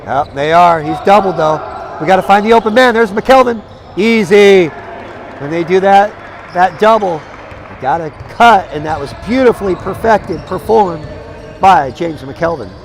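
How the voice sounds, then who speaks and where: A hoarse man calling a live basketball game play by play, energetic and chatty, climbing into excited calls. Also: sits high, moderate pace, a little hiss or hum. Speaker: play-by-play commentator. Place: arena broadcast position.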